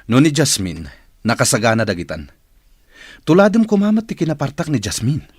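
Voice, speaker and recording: A man's voice: mid-range voice, huge pitch swings, brisk, husky, dry recording, studio quality. A man playing a demanding, fussy office boss with a Filipino accent in a radio drama. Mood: brisk, demanding.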